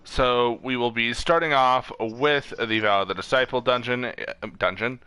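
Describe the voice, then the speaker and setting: A man's voice: mid-range voice, moderate pace, sharp tone, clear, resonant tone, no echo. A man hosting a casual gaming stream, reading off lists informally, stumbling over words and correcting himself. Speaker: streamer host.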